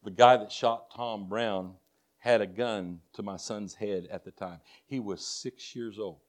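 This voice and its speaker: A man's voice: fairly low pitch, fairly even intonation, measured pace. An older man with a Texas drawl preaches to a congregation, weaving folksy personal stories into his sermon. He talks earnestly, often restarting and repeating words as he goes. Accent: Texan. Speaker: missionary preacher.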